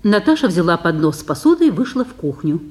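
A woman's voice: lowish voice, big pitch swings, fast talker, a little mellow. A woman narrator reading scripted stage directions for a radio broadcast of a play.

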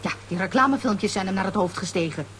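A woman's voice: medium pitch, fast, a bit bright, a little hiss or hum, natural voice. A woman voicing an anxious, fussing aunt who complains fretfully in a children's radio play. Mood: worried.

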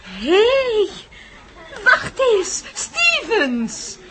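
Animated, teasing wife. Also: female voice, very high-pitched, extremely slow, background noise, heavily acted. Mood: delighted, teasing. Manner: playful, frank, expressive. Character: spirited, teasing farmer's wife, sharp but caring. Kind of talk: radio drama acting.

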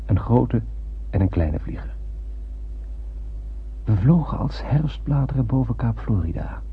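Very husky, poetic spaceman narrator. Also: mid-range voice, lively intonation. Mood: lyrical wonder. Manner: lyrical, deliberate, declamatory. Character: wistful spaceman narrator recalling his voyage with poetic wonder. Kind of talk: radio drama narration. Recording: narrow, tinny old radio broadcast.

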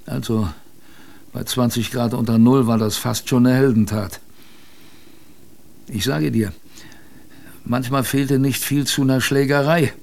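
A survivor of a polar expedition narrating a radio drama. His voice is mid-pitched and creaky. He tells of hardship in a thoughtful, colloquial and wry way. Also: fairly even intonation, measured pace, pauses often. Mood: amused, colloquial. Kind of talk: conversational storytelling in a German radio drama.

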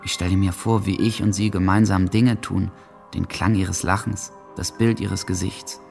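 Brooding, low, creaky-voiced teenage boy. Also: steady tone, moderate pace, darkish tone, soft background music. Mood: yearning. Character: lovesick, suicidal teenage boy reading his diary. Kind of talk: radio drama monologue, intimate diary reading.

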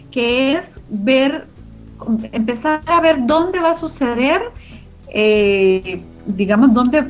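A woman explaining her radio production work in an interview, searching for her words as she goes. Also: on the high side. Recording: muffled sound with music underneath. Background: music.

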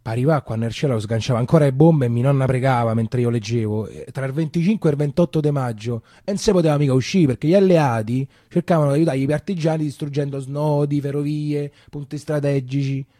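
An actor playing a Roman man recalling his wartime boyhood in an emotional, colloquial, halting theatrical monologue with a Roman accent. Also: mid-range voice, mellow, no room sound, clean studio sound, close to an everyday voice. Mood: somber, reflective. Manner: colloquial, halting, repeating himself. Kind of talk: theatrical first-person monologue in dialect.

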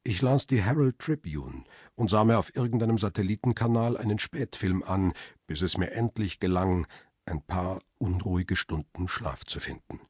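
Deep husky weary male narrator. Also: expressive, normal speed, darkish tone, no room sound, clean studio sound. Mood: reflective, measured. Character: world-weary middle-aged male press photographer narrating his story. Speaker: first-person narrator. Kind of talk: literary crime radio play narration.

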